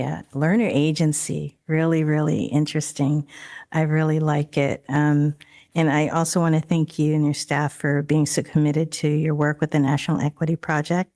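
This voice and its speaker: A woman raised in Daly City whose first language was not English, speaking as a school board trustee at a meeting, with a halting delivery full of fillers and restarts. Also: deep voice, dark tone. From Daly City, California. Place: district office board room.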